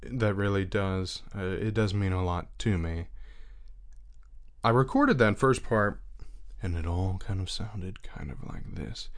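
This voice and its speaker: A young man talking earnestly in a reflective personal monologue, unhurried and thinking aloud as he goes. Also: deep voice. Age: young adult.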